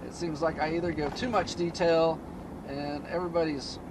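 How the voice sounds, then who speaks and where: A man hosting a DIY car channel, chatting casually in a flat, low-key monotone from inside a moving car. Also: normal speed, noisy background. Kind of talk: casual vlog talk while driving.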